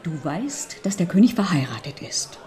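An actress playing a worldly, scheming society countess, confiding and insinuating, in a German radio drama. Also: female voice, fairly low pitch, big pitch swings, moderate pace, a little mellow. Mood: confiding, persuasive.

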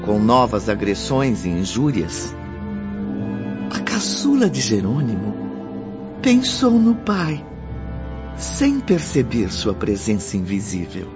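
A man's voice: lowish voice, huge pitch swings, slow, long pauses, full character voice. A man with a Brazilian accent narrating a radio drama in a dramatic, scripted style. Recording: dull lo-fi with music behind.

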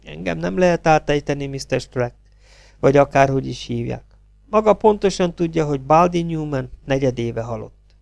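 A cocky young secretary lady, as a male reader puts her on, scornful and biting, pitched up high and lively, full of scorn for the cop in front of her. the reading is dry and close with no room around it. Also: gravelly, dry recording. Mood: mocking. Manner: mocking, scornful. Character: sharp-tongued young woman secretary, mocking.

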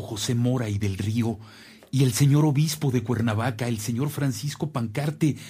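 An actor playing an earnest, caring priest in a radio drama, with a measured, respectful delivery. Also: male voice, mid-range voice, mellow, husky. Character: earnest, caring priest, loyal brother in faith.

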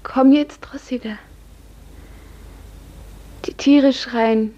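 A woman playing a dreamy, grief-numbed young serf in a 1950s radio drama, hushed, breathy and mournful. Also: high voice, fairly even intonation, drawn-out words, dull audio. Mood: dreamy devotion. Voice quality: breathy, half-whispered.

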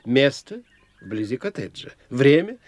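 An actor playing a self-assured, eccentric older doctor of the soul in an audio drama, speaking in a pondering, indulgent, lecturing manner. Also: male voice, mid-range voice, big pitch swings, unhurried, no echo. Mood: analytical. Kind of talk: theatrical audio drama dialogue.

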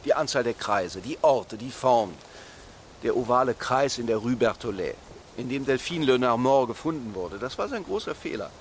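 An actor plays a brooding male police detective in a radio crime play. His middle-pitched voice moves widely up and down as he explains with quiet certainty. Noise and ambience sit behind him. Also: animated, normal speed, natural voice. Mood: explanatory, brooding. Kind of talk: naturalistic radio drama dialogue.

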